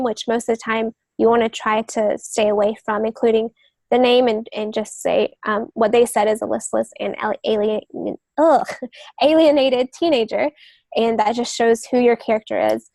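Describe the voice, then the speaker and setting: A woman's voice: a little high, a little mellow, no echo. A woman guest on a podcast, explaining writing craft casually, step by step. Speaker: podcast guest, novelist and literary agent.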